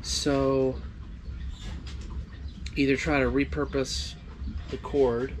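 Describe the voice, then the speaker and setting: A man's voice: mid-range voice, little pitch movement, extremely slow, slight background noise. A man in his fifties casually explaining a hands-on project, thinking aloud tentatively as he goes, recorded on a boat. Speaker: do-it-yourself boat vlogger. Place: open-sided boat cockpit at a marina.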